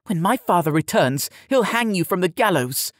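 A man voicing a spoiled, cowardly young lord's son in an audiobook, haughty, shrill and indignant. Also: lively intonation, normal speed, no room sound, clean studio sound. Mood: haughty threatening.

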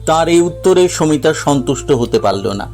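A man narrating a scripted dramatic story in a measured, literary storytelling manner. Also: on the high side, lively intonation, darkish tone, faint music behind.

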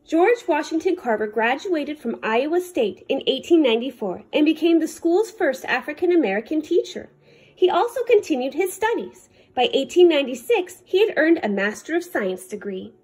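A woman reading a children's picture book aloud as a librarian, in careful, deliberate short phrases. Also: sits high, sing-song, darkish tone, faint music behind.

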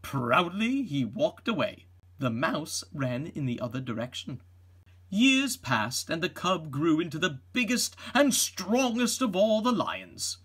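A middle-aged man reading a children's storybook aloud as an expressive narrator. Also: fairly high pitch, measured pace, breathy edge.

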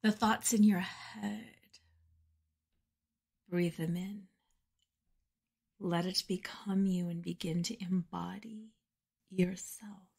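A woman leading a guided meditation in a soft, breathy, soothing voice with measured phrasing and pauses. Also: lowish voice, fairly even intonation. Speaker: meditation guide and podcast host.

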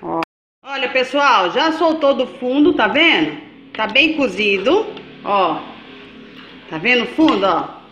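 A woman's voice: on the high side, big pitch swings, takes it slowly, long pauses, a bit bright, dull audio. A woman hosting a cooking video who explains each step and keeps checking in with her viewers. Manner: chatty, checks in with viewers often. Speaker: cooking channel host. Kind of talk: step-by-step recipe demonstration. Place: home kitchen. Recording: echoey kitchen with faint noise.

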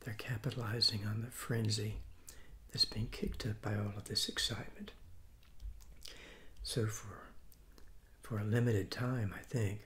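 Hoarse, soft-spoken man in his sixties. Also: fairly low pitch, steady tone, a little hiss or hum. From Southeastern United States. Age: 60s. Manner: soft, partly whispered, halting with restarts. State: hoarse from a cold. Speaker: food review host. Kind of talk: soft-spoken ASMR food review. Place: small room at a table, indoors. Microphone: fixed camera mic at close range.

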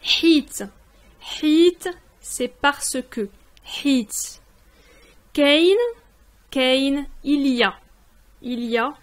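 Very slow-paced woman teaching words. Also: high-pitched. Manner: repeats each word slowly and translates it. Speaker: language teacher. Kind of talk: slow spoken language lesson. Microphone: voice-over on text slides.

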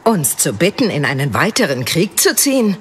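A woman playing a tough, sharp-tongued archer with a dry, curt manner in an audiobook drama. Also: on the low side, big pitch swings, normal speed, breathy edge, exaggerated voice. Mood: sceptical.

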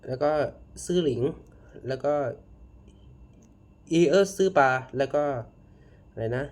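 A man giving casual reaction commentary, halting and often repeating his words. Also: a little high. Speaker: reaction video host. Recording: loud music behind the voice.

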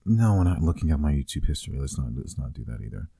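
A man playing a playful, affectionate boyfriend in an intimate ASMR roleplay, teasing softly and conversationally, close to the microphone. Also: very deep voice, big pitch swings, quick pace, creaky, breathy edge, no room sound, heavily acted. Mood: playful, embarrassed.

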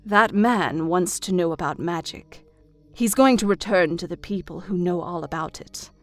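A woman playing a calm, knowing seer in a fantasy audio drama. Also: lowish voice, normal speed, no room sound, faint music behind, natural voice.

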